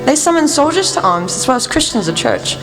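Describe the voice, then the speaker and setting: Radio play lead: woman playing cool-headed scholarly female sleuth, lively intonation, music behind, roomy hall. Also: sits high, a little fast. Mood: musing. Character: brisk, learned, unsentimental lady detective.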